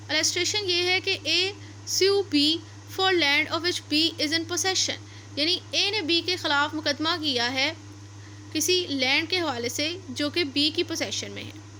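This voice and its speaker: A woman's voice: very high-pitched, bright, forceful tone, slight background noise. A woman teaching law, reading passages aloud and then explaining them step by step, repeating and rephrasing her points. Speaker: law lecturer.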